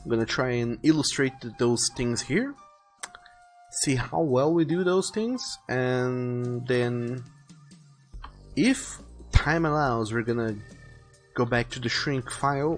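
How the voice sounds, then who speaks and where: A man's voice: medium pitch, lively intonation, a little slow. Man casually thinking aloud over music. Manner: rambling, repeats and rephrases as he plans. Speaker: live streamer illustrating a visual novel. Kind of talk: casual livestream chat, thinking aloud while planning artwork.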